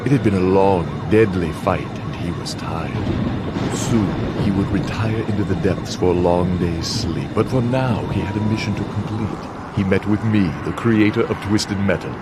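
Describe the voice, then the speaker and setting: An actor playing a sinister contest master in a video game, narrating dramatically in a theatrical, grandiose, measured manner. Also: male voice, low-pitched, very noisy, close to an everyday voice.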